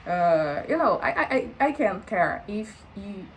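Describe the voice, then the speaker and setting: A woman's voice: medium pitch, big pitch swings, unhurried, slight background noise, low-quality recording. A woman in her late twenties with a Brazilian Portuguese accent, chatting unscripted to the camera in a hesitant way, with many fillers. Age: late 20s. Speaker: vlogger. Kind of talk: unscripted casual chat to camera.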